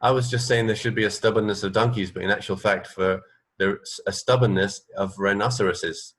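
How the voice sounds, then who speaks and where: Man, low-pitched voice, radio co-host, casual conversation, hesitant phrasing with repeats. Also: fairly even intonation, brisk, a bit bright. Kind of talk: casual chat, trading fun facts.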